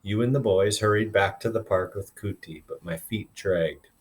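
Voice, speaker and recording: Low-voiced man reading aloud as a teacher. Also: normal speed. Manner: instructive, explains words informally. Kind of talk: expressive read-aloud narration with explanatory asides.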